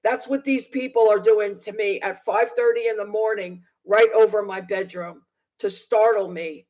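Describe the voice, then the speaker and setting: A woman's voice: medium pitch, steady tone, moderate pace, a little mellow, poor audio. A woman in her sixties giving personal testimony to viewers in an aggrieved, emphatic manner, repeating phrases for stress. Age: 60s. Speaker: vlogger recounting personal experience.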